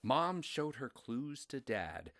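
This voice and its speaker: A man reading a children's story aloud in a deliberate, expressive way. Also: medium pitch.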